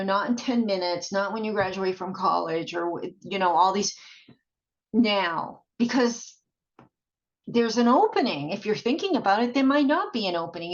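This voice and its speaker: A woman video blogger giving a rambling, casual monologue in a halting, repetitive way, often trailing off. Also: mid-range voice. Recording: dull, muffled lo-fi sound.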